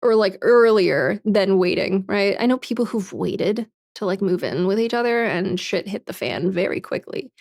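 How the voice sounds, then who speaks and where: A woman's voice: mid-range voice, animated, moderate pace, creaky, few pauses, darkish tone, no echo, clean studio sound. A woman in her twenties, a livestreamer chatting casually with viewers, frank, swearing often and laughing a lot. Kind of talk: casual chat, reading and answering viewer comments.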